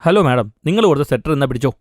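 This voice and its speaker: A man's voice: medium pitch, animated, very fast, no room sound, studio quality, natural voice. A man playing a stern, angry, traditional father who scolds and domineers in a cartoon story. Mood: angry, dismissive. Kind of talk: dramatised cartoon story dialogue.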